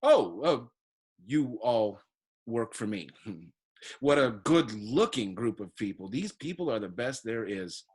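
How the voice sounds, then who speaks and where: Man playing a pompous, husky political candidate. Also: fairly low pitch, animated, a little mellow, exaggerated voice. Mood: smug, evasive. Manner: halting, self-repeating, builds into sloganeering. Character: pompous, evasive male political candidate, smug and grandiose. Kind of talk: theatrical musical comedy acting.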